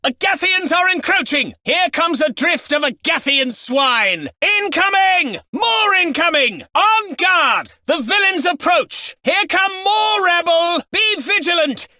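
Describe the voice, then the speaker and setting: An actor voicing a pompous, haughty medieval lord in a game, barking orders and insults. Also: male voice, extremely high pitch, very animated, slow pace, few pauses, pushed and bright, dry recording, clean studio sound, exaggerated voice. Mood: alarmed warning. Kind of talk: game voice lines.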